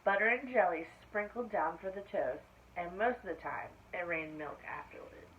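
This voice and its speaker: A woman reading a children's picture book aloud expressively, pausing to put questions to her listeners. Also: lowish voice. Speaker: storytime reader. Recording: muffled lo-fi sound with faint noise.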